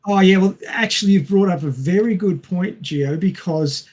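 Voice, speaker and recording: A man's voice: high voice, moderate pace, darkish tone. A man co-hosting a podcast, explaining science informally in a didactic manner. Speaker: podcast co-host.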